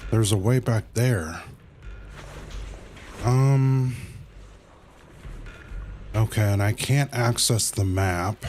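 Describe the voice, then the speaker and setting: A man's voice: on the low side, very slow, pauses often, darkish tone, a little hiss or hum. A man giving casual livestream commentary on a game, thinking aloud in informal, slangy asides.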